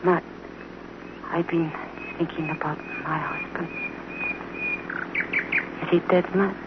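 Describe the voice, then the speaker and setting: A woman playing a dying, remorseful female outlaw in a radio drama, speaking faintly and haltingly as her strength fails. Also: on the low side, steady tone. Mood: weak, remorseful. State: weakening, near death. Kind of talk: 1950s radio drama acting. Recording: dull, noisy old radio recording.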